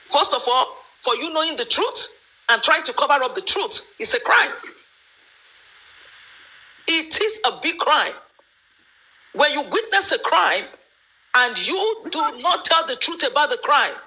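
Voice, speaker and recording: A woman's voice: high voice, very animated, a little slow, pauses often, bright, forceful tone, rough recording. A woman giving her opinion in an online discussion, emphatic and lecturing, with many fillers. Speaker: panelist.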